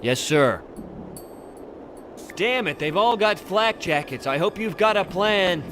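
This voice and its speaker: Anxious, animated soldier, higher-voiced. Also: male voice, slow pace, noisy background. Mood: worried. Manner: urgent, worried. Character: anxious, excitable male soldier comrade, wary but loyal. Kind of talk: video game cutscene voice acting.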